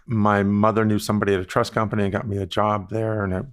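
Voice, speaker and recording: A man in his sixties from the Bahamas, telling stories about his career in a measured, reflective way, as an interview guest. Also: lowish voice, flat delivery, clear, resonant tone. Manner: measured, reflective, with frequent "you know" asides. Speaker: guest, investment firm founder. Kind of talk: relaxed storytelling interview answers.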